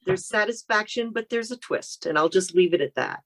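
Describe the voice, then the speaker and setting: A woman's voice: medium pitch, fairly quick. A woman author, a guest on a podcast, explaining her book series steadily, step by step. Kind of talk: casual interview chat.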